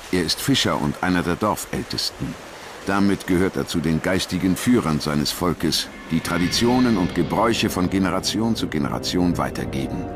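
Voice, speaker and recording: Very deep male documentary narrator. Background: music.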